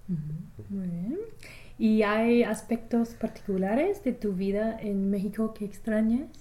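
A woman interviewer asking steady questions and giving frequent soft backchannel sounds while she listens. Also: mid-range voice, measured pace.

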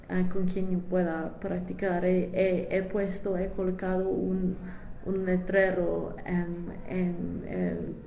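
A young woman with as her gives informal interview answers in a slow, hesitant way, feeling for the right words. Her pitch moves little and the room adds noticeable echo over faint noise. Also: mellow. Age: young adult. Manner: hesitant non-native speech, searching for words. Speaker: interviewee, language student.

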